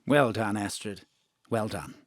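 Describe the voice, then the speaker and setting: A man's voice: on the low side, measured pace, no room sound, studio quality, close to an everyday voice. A man playing a genteel secret agent in an audio drama, with an understated, old-fashioned, poetic murmur. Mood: tender, wistful. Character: Peter, genteel male secret agent, dry and wistfully poetic.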